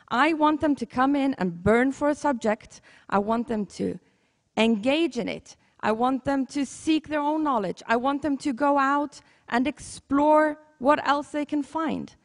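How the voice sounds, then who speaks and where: A woman from Iceland with an Icelandic accent, a teacher giving an earnest, conversational talk and correcting herself as she goes. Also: sits high. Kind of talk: conference talk. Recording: long hall reverb.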